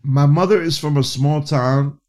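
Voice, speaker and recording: A man from New City, a guest and educator, telling stories in a casual interview, animated and often restarting his phrases. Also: fairly high pitch, unhurried. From New York City. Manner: animated, restarts phrases, frequent "you know".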